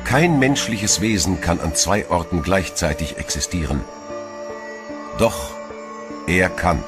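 A man's voice: sits low, expressive, slow pace. A man announcing solemnly and portentously, as in the scripted intro of a horror series. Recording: music behind the voice.

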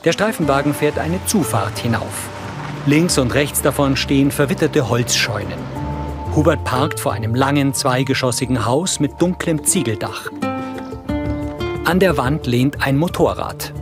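A man reading scripted audio description for a TV crime series in a measured, matter-of-fact way. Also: medium pitch, lightly acted. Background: music behind the voice.

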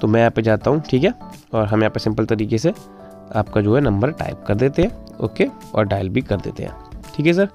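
A man's voice: lowish voice, expressive, brisk, darkish tone. A man presenting a casual tech tutorial, informally addressing viewers in a repetitive, pleading way. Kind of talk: casual screen-recorded phone tutorial. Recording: music playing behind the voice. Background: instrumental background music.

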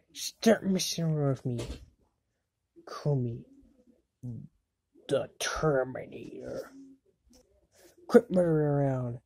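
Woman as a low, bratty cartoon boy. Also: warm tone, no echo, heavily acted. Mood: scornful, irritated. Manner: sneering, exasperated. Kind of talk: amateur cartoon fandub acting.

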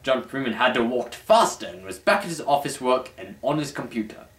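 A young man hosts a mock-dramatic reading with formal, pompous flair. His medium-pitched voice moves in lively, wide swings. The recording is echoey, picked up from a short distance in a small room. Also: a little fast, creaky. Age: young adult. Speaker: host and reader. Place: small dim room at home. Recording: roomy, distant camera microphone.

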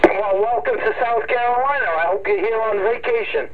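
A friendly man with a high voice makes courteous, casual CB chat. The sound is thin and tinny like a phone, with clear static behind it. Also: moderate pace. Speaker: CB radio operator.

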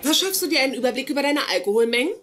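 An actress playing a blunt, socially awkward neurobiologist with formal, academic phrasing in a dubbed sitcom. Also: female voice, high-pitched, lively intonation, racing. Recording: noisy sitcom soundtrack.

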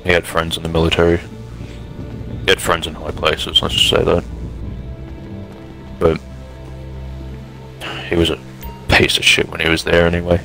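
A man's voice: low-pitched, completely flat delivery, a little slow, creaky, long pauses, sharp tone, faint music behind, full character voice, low-quality recording. A man playing a bitter, grieving apocalypse survivor, blunt and downcast, in an improvised game roleplay. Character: bitter, grieving young male apocalypse survivor seeking his brother.

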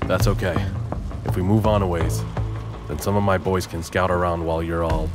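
A tough male gang boss, voiced by an actor for a game cutscene. He is terse, pragmatic and commanding, in a low voice over music. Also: fairly even intonation, moderate pace, lightly acted. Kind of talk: video game cutscene acting. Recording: music behind the voice.

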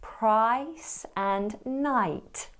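A woman's voice: a little high, very slow, fairly bright tone. A woman presenting a scripted pronunciation lesson as the teacher, in an instructional, enunciated way.

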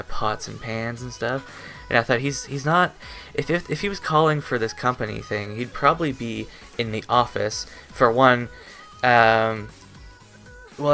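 A young man in his twenties vlogs casually at his desk in a bedroom. His voice sits at an average pitch and he speaks in a hesitant, rambling way, letting thoughts trail away. Faint music plays behind him. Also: pushed and bright. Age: 20s. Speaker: YouTube vlogger. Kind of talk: casual rambling webcam vlog. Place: small bedroom, indoors.

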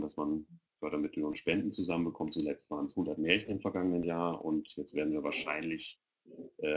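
Middle-aged man, very low voice, informal webinar explainer, searching for words, muffled headset recording. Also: fairly bright tone. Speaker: panel guest presenting a children's audio portal. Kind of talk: informal presentation in an online webinar. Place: indoor room, video call. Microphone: headset on a video call. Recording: dull lo-fi video-call audio.

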